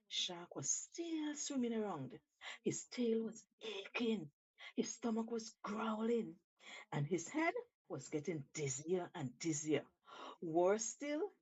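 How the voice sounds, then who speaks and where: A woman telling an animal folktale on the radio, with theatrical, deliberate phrasing and an expressive delivery. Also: fairly high pitch, breathy edge, highs cut off. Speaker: radio storyteller.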